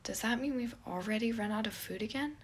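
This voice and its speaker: Girl doing a tired sarcastic young guy, low-key and dry, bit husky, audio story. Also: brisk. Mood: exasperated. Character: weary, sardonic, guarded younger man. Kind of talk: fan fiction audio story character.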